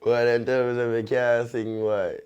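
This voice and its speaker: A young man with a Jamaican accent and a deep bass voice, talking in relaxed patois as a guest artist, often tagging his sentences with a check-in. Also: fairly quick, clear, resonant tone, muffled recording. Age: young adult. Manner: laid-back, peppers talk with "you see me?".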